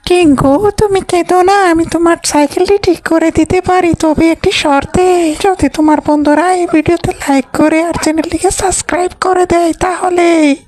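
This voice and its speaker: A man voicing a bossy, menacing female witch in a children's cartoon story, with drawn-out theatrical delivery. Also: extremely high pitch, steady tone, darkish tone, no echo, pitched above the performer's own voice. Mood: coaxing. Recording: music behind the voice.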